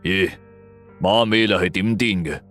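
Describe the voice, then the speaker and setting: A man's voice: deep voice, a little slow, a bit bright, soft background music. A man narrating a suspense story in a colloquial, dramatic storyteller style. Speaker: audiobook narrator.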